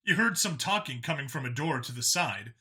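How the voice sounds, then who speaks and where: A man's voice: medium pitch, expressive, a little fast, sharp tone. A man narrating a science fiction action story from a script.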